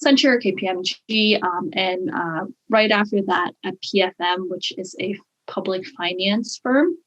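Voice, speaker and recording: A woman's voice: medium pitch, a little slow, muffled recording. A woman in her thirties from Hong Kong, a guest telling her story in casual chat over a video call, bubbly, laughing often and using many fillers. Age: 30s. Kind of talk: casual interview.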